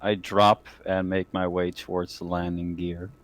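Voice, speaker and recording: A man playing a tabletop roleplaying game, talking casually in a halting, informal way. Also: low voice, little pitch movement, slight background noise. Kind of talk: casual chat during a game.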